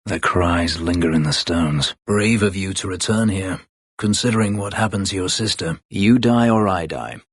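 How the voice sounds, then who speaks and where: An actor playing a brooding, bitter escaped slave elf warrior in a video game, speaking tersely and formally in a husky voice. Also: male voice, sits low, moderate pace, no room sound, studio quality. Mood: grim, wary. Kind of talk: video game character dialogue.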